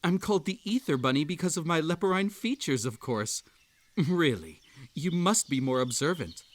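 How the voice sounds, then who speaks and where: A man playing an obsessive, unhinged magical hare hosting a tea party in a roleplay audio, with a theatrical, halting delivery that swings between sweet coaxing and menace. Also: fairly high pitch, expressive, no echo, lightly acted. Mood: doting, obsessive. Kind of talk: roleplay audio acting, intimate second-person address.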